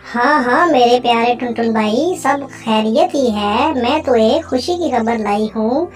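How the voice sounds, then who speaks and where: A woman's voice: on the high side, unhurried, close to an everyday voice. A woman voicing a friendly, helpful female little bird in a cartoon moral story, reassuring and cheerful. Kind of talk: animated moral story dialogue. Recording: music under the voice.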